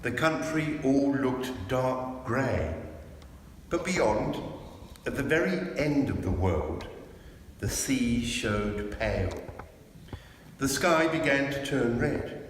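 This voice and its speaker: A man's voice: mid-range voice, animated, takes it slowly, pauses often. A man narrates a storybook aloud with expression. He takes his time, and his voice carries a heavy echo and long reverb inside a church, with faint noise behind. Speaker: clergyman reading a storybook aloud.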